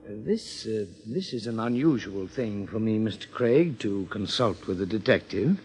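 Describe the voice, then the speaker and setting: An actor playing an earnest, hesitant psychiatrist in a 1950s radio drama, with formal, halting phrasing. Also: male voice, lowish voice, animated, a little mellow, breathy edge, full character voice. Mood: hesitant, careful.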